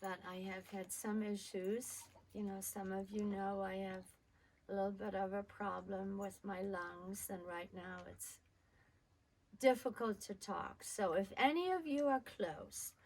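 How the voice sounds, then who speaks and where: An older woman with a hoarse, crackly voice, chattily telling a personal childhood story to her regular listeners. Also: mid-range voice, fairly even intonation, darkish tone. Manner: slow, homely, chatty storytelling.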